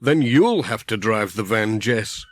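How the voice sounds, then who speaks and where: A man's voice: lowish voice, animated, unhurried, slight background noise, voice pushed down. A man voicing a friendly, overworked village postman in a children's audiobook, with a Northern English accent and a folksy, grumbling manner. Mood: chivvying.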